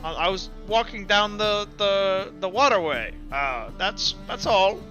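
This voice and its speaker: A man voicing a humble, flustered old vampire woman who gives halting, dramatic, accusing testimony in a tabletop game. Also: sits high, expressive, bright, forceful tone, dull audio, faint music behind, full character voice. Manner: halting, repeats words, dramatic.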